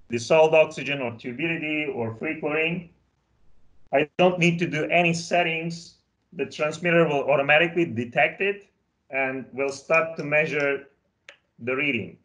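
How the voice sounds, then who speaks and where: A man's voice: fairly high pitch, a bit bright, low-quality recording. A man in his thirties from Bulgaria, whose first language is Turkish, speaking carefully as a guest on a video call and demonstrating equipment from his home office. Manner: careful, explanatory, handles equipment while talking. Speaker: product specialist guest. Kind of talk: technical demo and interview talk. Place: small home office, indoors. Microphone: webcam on video call.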